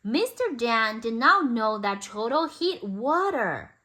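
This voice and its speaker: A woman reading a storybook aloud expressively for toddlers, in simple deliberate phrases. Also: on the high side, very animated, pushed and bright. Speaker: storybook reader.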